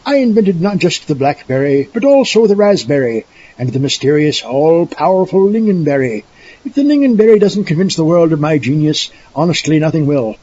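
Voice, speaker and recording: A man's voice: on the high side, very animated, a little fast, warm tone, dull audio. A man playing a pompous, sarcastic, megalomaniac supervillain doctor in a comic audio drama. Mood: boastful.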